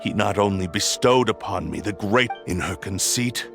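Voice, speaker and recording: A man's voice: lowish voice, moderate pace, close to an everyday voice. A man voicing an ancient cursed blade spirit in a video game, proud, menacing and grandiose in manner. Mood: proud, bitter. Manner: grandiose, theatrical, disdainful. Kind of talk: video game cutscene acting. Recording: faint music behind the voice.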